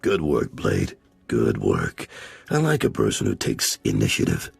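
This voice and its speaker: Deep, gravelly older gang boss. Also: male voice, wildly swooping pitch, unhurried, no room sound, soft background music, full character voice. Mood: pleased, fatherly. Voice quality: gravelly, raspy.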